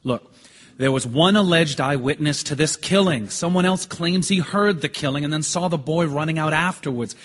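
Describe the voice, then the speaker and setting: A male actor plays a thoughtful, persistent dissenter on a jury. His voice is husky and slightly high, ringing in a long theatre reverb. He speaks as in stage drama. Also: moderate pace, natural voice. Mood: measured doubt. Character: calm, thoughtful, doubting male juror who persists. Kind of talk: live audio theatre drama acting.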